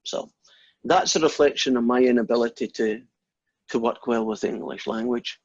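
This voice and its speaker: A man's voice: medium pitch, fairly even intonation, moderate pace, a little mellow, no echo, lo-fi sound. A Scottish man, a maths lecturer, wandering off topic into rambling, self-deprecating stories during an informal class. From Scotland. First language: Scots.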